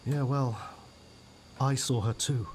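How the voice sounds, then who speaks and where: A man's voice: medium pitch, fairly even intonation, slow pace, close to an everyday voice. A well-meaning village friend in a radio soap, played by a man. He sounds tentative and apologetic, in a mid-range male voice with a little noise behind it. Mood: reluctant. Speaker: actor.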